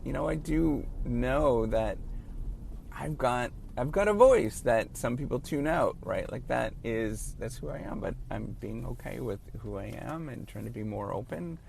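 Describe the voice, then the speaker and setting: A man in his late thirties who stutters, giving halting, earnest encouragement in a casual spoken monologue as a professor. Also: medium pitch, animated, gravelly, darkish tone, a little hiss or hum. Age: late 30s.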